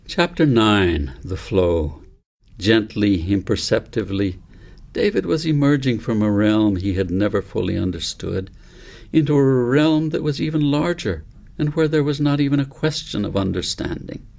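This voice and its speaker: A man narrating an audiobook story in a gentle, measured, deliberate way. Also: fairly low pitch, lively intonation, gravelly. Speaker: author reading own book aloud.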